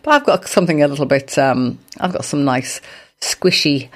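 A woman hosting a classical music radio show in casual, chatty style, her voice deep, gravelly and bunged up from a dust allergy. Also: normal speed. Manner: chatty, self-mocking, rambling.

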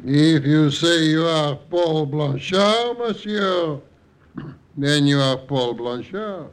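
A man's voice: fairly high pitch, expressive, slow. An actor playing a discreet, loyal old Frenchman at a hotel desk, courteous and knowing, with a French accent, in 1940s-50s radio drama style. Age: older.

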